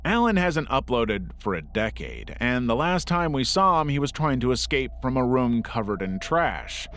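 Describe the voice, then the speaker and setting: A man's voice: medium pitch, sing-song, moderate pace, background noise. A man narrating a scripted documentary-style video essay as its narrator.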